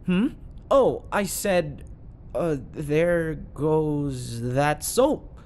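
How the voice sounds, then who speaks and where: A man's voice: on the high side, animated, very slow, a little mellow, slight background noise. A man playing an awkward, eager, obsessive bodyguard sidekick who rambles and corrects himself in an audio roleplay. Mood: flustered, needy. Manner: rambling, flustered, self-correcting.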